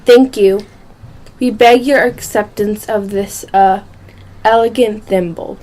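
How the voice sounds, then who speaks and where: A woman's voice: medium pitch, steady tone, slow, very noisy, lightly acted. A female performer playing a bossy, ceremonious storybook creature in an amateur radio play.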